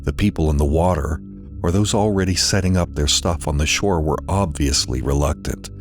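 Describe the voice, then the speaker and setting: Guy reading a creepy horror story, super low voice, music playing underneath. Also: moderate pace. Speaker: narrator. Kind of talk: dramatized horror story narration, first person.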